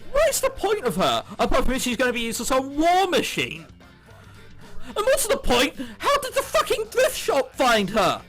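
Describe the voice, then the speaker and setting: A man reading a visual novel aloud as a gaming YouTuber, adding sweary, sarcastic, animated commentary. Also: very high-pitched, moderate pace. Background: game background music.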